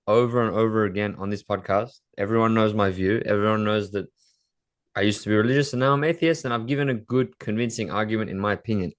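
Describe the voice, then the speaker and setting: A man's voice: on the low side, fast. A man co-hosting a casual podcast, asking probing questions with a teasing edge. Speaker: podcast co-host.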